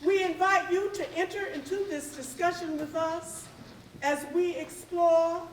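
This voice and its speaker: A woman hosting a theatre evening, speaking formally and deliberately in measured, oratorical phrases. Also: extremely high pitch, steady tone. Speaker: host of a readers theatre. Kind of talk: formal stage welcome address. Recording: roomy, distant mic, faint noise.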